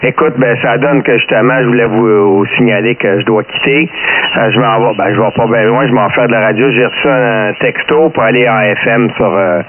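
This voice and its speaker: A man's voice: mid-range voice, fairly quick, background noise. Québécois man over narrow-band ham radio. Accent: Québécois French. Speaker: amateur radio operator. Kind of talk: casual radio contact chat. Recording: muffled narrow-band amateur radio transmission.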